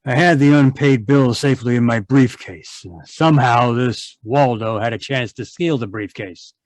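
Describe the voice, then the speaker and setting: A man's voice: mid-range voice, normal speed, no echo, close to an everyday voice. Man playing a wary married man, dry sound. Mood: guarded, sheepish. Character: guarded married man caught keeping a mistress. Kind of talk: noir audio drama acting.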